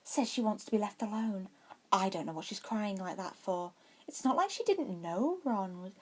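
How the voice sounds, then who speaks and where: A woman's voice: medium pitch, expressive, moderate pace, natural voice. A woman voicing an unsympathetic, gossipy young schoolgirl in a story reading. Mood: unconcerned. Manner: unconcerned, gossipy.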